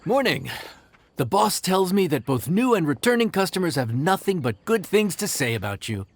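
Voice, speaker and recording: A man's voice: sits high, very animated, moderate pace, dry recording, heavily acted. A man voicing a friendly, encouraging, chatty restaurant worker in an anime game. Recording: music behind the voice.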